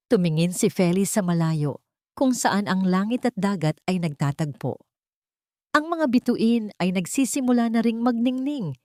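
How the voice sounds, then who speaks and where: Low-pitched Filipino woman narrating a story. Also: a little mellow, no room sound, clean studio sound. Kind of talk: scripted dramatic storytelling narration.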